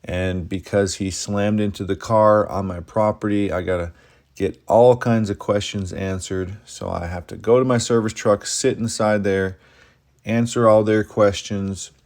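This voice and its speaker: A man's voice: lowish voice, steady tone, measured pace, darkish tone. A man telling a first-person story in plainspoken, dramatic present-tense phrases. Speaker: diesel mechanic telling his own story.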